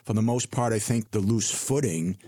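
A man with a lowish voice co-hosts a retirement radio show and gives money advice in a conversational, reassuring way. He leans hard on the key numbers as he explains them. The sound is close and dry. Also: moderate pace, warm tone, dry recording. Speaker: financial advisor co-host. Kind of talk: radio finance talk.

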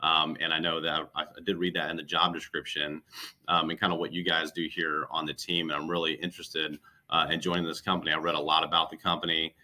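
A man's voice: very deep voice, little pitch movement, quick pace, sharp tone. A man speaking conversationally as a recruiter guest, coaching with emphatic, repeated phrases. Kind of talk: conversational interview advice.

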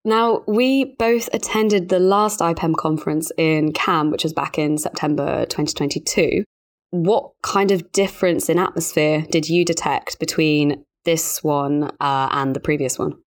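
A woman's voice: deep voice, normal speed. A woman hosting a professional podcast, presenting and discussing as a journalist. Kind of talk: scripted podcast introduction and interview discussion.

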